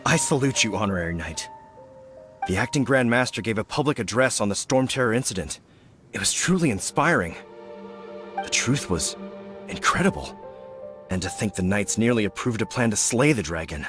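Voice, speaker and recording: Earnest game guard played by a man: low voice, formal, measured, music behind. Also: expressive, close to an everyday voice. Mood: solemn, respectful. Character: solemn, dutiful male city guard. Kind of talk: video game voice acting.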